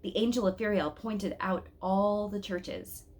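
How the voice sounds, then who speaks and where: Female read-aloud storyteller, mid-pitched, expressive delivery, speaks straight to young listeners. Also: slow pace. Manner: expressive, addresses listeners directly with questions.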